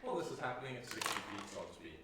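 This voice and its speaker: Brief: lively-pitched male player, heard from off-mic in a very echoey room. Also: rapid-fire. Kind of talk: tabletop game play. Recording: echoey and distant with noise.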